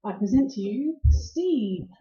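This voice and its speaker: A woman acts as host at an informal live event, speaking in a fairly high but very mellow voice. The sound is dull and echoes around the room. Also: lively intonation, slow pace, faint music behind. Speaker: host introducing a radio play troupe. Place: indoor live venue before an audience.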